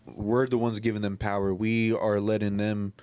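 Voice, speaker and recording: A man's voice: fairly low pitch, level tone, moderate pace, a little mellow, smooth, dry recording. A man hosting a casual podcast about music, giving opinions in slangy, informal speech. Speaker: podcast host. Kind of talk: casual group chat, music talk.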